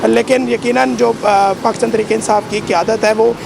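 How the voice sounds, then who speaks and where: Hoarse, gravelly male field reporter. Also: very high-pitched, flat delivery, brisk. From Pakistan. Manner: rambling, many fillers. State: sore throat. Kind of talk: live news report. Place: outdoors by a street. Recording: noisy outdoor street with traffic.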